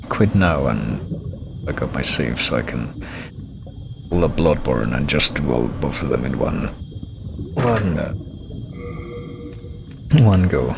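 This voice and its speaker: A man's voice: a bass voice, creaky. A man streaming a video game, rambling and thinking aloud as he plays. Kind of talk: gameplay commentary.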